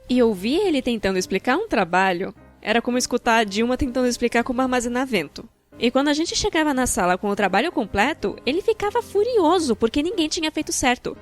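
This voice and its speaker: A Brazilian woman with a fairly high voice narrates a personal story from a script. Her delivery is expressive and wry, with colloquial phrasing. Music plays behind her voice. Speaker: narrator of a fan-dubbed animated storytime video. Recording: background music.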